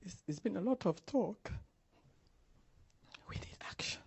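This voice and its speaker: A woman from Cameroon in the audience, speaking softly and pleading from far off the mic. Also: mid-range voice, animated, a little slow, gravelly, husky, no room sound, whispered. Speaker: audience member.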